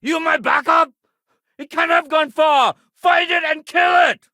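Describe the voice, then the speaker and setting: The lowish-voiced, wry, by-the-book police sergeant you'd meet at a spaceport desk in a sci-fi game, clipped and dutiful, his pitch moving freely. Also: sing-song, moderate pace, dry recording, studio quality. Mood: urgent shouting. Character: male city security sergeant, dry, no-nonsense, dutiful. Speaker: video game character voice actor. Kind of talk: video game dialogue.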